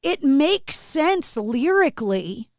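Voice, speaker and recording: A woman with a fairly high voice hosts a casual interview chat. She is playful and quick with a joke, and her voice sounds close and dry. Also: big pitch swings, a little mellow, no echo. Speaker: podcast host.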